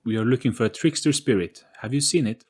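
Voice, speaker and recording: A man's voice: mid-range voice, fast, darkish tone, breathy edge, voice pushed up, close to an everyday voice. A man voicing a practical, forthright young female adventurer with a commanding manner, in an audio play. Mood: direct. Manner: direct, commanding.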